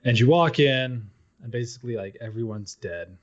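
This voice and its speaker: A man with a mid-pitched voice chats casually as a podcast co-host. He swears freely and talks informally. The recording is a little dull and lo-fi. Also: normal speed. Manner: casual, profane. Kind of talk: casual chat about games. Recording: dull lo-fi audio.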